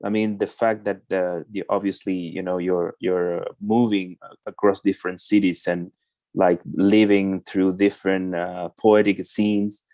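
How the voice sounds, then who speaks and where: A man from Chile, a translator, asking questions on a video call in a halting way, often repeating words. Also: low voice, level tone, dark tone, dry recording. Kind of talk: online literary conversation.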